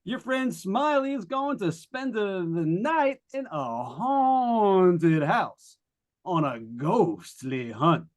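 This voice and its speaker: Man playing an animated high-voiced radio disc jockey. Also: takes it slowly, heavily acted. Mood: teasing, excited. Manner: chatty, showy, folksy patter. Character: excitable, jovial, wisecracking male late-night jazz disc jockey. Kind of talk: old-time radio drama acting.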